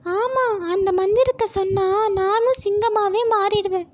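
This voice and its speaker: A tiny storybook fawn, played by a woman, very high and squeaky. It sounds young and timid, yet earnest and sly as it coaxes its way along. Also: fairly even intonation, a little slow. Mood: cunning, persuading. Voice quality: small, thin childlike squeak. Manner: anxious, coaxing, earnest. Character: timid but cunning little fawn.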